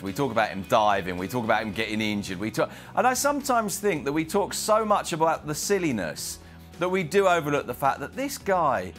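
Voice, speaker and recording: A man in his forties makes his case on a football panel, articulate and self-deprecating. His pitch moves about a lot as he talks. Faint music runs behind him. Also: a bit bright. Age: 40s. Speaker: football journalist. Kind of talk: casual panel chat.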